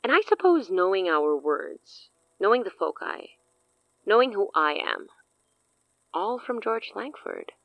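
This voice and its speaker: A woman's voice: medium pitch, wildly swooping pitch, a little slow, vocal fry, dry recording, dull audio, full character voice. A woman playing a smug, menacing sorceress in an audio drama, theatrical and taunting with amused condescension. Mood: wry, ominous.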